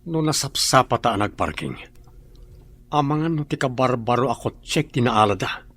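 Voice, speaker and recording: A serious male boss in a radio drama. His voice is husky, mid-pitched and Filipino-accented. He speaks soberly, as someone explaining a problem. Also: animated, normal speed, fairly bright tone. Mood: concerned, sober. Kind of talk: Ilocano radio drama acting.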